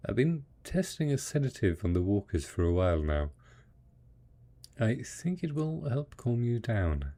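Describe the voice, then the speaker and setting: A man's voice: fairly low pitch, big pitch swings, unhurried, dark tone. A man playing a devoted post-apocalyptic husband in an intimate audio drama, speaking tenderly and patiently as he coaxes his monstrous spouse. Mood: patient, encouraging. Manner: tender, coaxing, patient, full of pet names.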